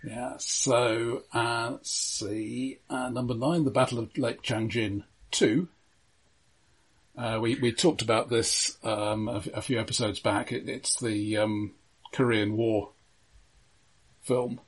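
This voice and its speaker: A man's voice: medium pitch, fairly even intonation, slow, slightly rough. A man co-hosting a casual film discussion, talking in a dry, wry way. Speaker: podcast co-host. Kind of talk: casual film discussion, reading out a chart countdown.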